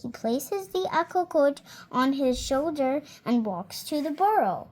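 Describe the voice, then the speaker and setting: A young reader slowly and carefully reading a picture book aloud, now and then stumbling on a word and fixing it. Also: female voice, high voice, very animated, darkish tone. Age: child. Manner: slow careful reading with small slips and self-corrections.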